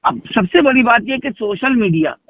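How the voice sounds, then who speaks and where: A man's voice: very high voice, lively intonation, normal speed. A man talking emphatically on a phone call, repeating phrases and posing rhetorical questions as a religious speaker. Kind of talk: phone conversation, explaining and declaring at length. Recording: muffled narrowband phone call recording.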